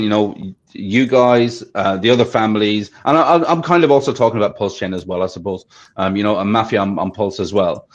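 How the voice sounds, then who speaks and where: A man hosting a casual discussion, chatty, often checking for agreement. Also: on the low side. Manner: chatty, asks for agreement with "right?". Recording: dull lo-fi audio.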